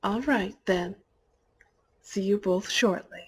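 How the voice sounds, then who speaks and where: A woman's voice: medium pitch, takes it slowly, breathy edge. A woman playing a kindly older mother in a scripted audio roleplay. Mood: gentle.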